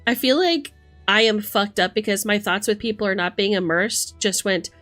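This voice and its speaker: A woman's voice: mid-range voice, moderate pace, a bit bright. Opinionated woman livestreamer over background music. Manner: opinionated, emphatic, sweary. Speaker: livestream host. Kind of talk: casual livestream rant, chatting with commenters.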